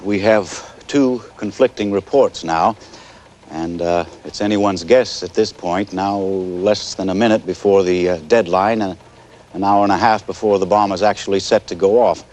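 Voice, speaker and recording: An actor playing a measured, hesitant male news anchor covering a crisis live in a 1980s TV drama. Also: low voice, steady tone, darkish tone, close to an everyday voice. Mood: sober. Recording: hissy 1980s TV broadcast audio.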